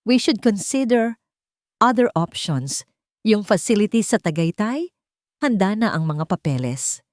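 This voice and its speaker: A woman's voice: mid-range voice, expressive, a little slow, darkish tone, dry recording, studio quality, pitched above the performer's own voice, lightly acted. A woman voicing a scheming, suspicious man who gives insinuating warnings to his rich friend in an audio story. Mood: cold, urging.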